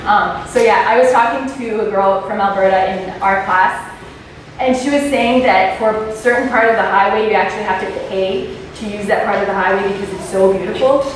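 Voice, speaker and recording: A woman's voice: mid-range voice, a little fast. A young woman with a French Canadian accent, a native French speaker, giving an informal student presentation in a nervous, chatty way. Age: young adult. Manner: nervous, self-conscious, chatty. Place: echoey indoor room, recorded from a distance. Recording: very echoey room, distant mic, faint noise.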